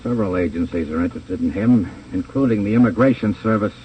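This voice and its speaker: An actor playing a stern, insistent police lieutenant in a 1950 radio crime drama, blunt and official. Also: male voice, lowish voice, fast talker, close to an everyday voice. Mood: determined. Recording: dull, hissy old radio transcription, distant.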